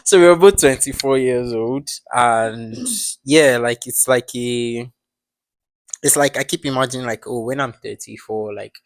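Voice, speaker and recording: A man's voice: medium pitch, measured pace, dry recording. A man in his twenties hosting a podcast, talking casually and reflectively with lots of fillers. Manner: rambling, filler-heavy, reflective. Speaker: podcast host. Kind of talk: casual chat.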